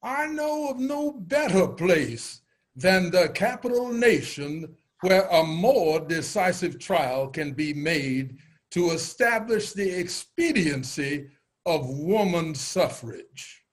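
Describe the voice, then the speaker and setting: A man playing an earnest nineteenth-century senator giving a deliberate, oratorical speech in a reader's theater. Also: high voice, animated, full character voice. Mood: earnest, resolute. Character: earnest 19th-century senator championing women's rights.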